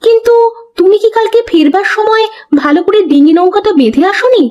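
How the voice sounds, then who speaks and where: A woman voicing a concerned, shrewd and devout village fisherman's wife in an animated folk tale, expressive and caring. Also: extremely high pitch, brisk, lo-fi sound. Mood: alarmed, questioning. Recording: music behind the voice.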